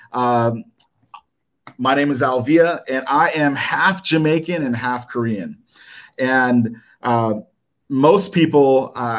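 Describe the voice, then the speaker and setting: A man in his thirties whose first language was Japanese, giving a nervous, halting personal testimony to a church congregation, full of fillers and restarts. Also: mid-range voice. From United States. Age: 30s. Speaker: church member giving a talk.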